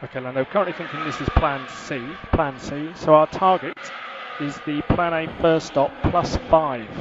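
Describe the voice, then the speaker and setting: A man working as a race engineer, giving calm, clipped, repeated instructions over a team radio. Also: a little high, normal speed. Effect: team radio filter. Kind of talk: terse, instructional radio messages. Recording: muffled, noisy motor-racing team radio.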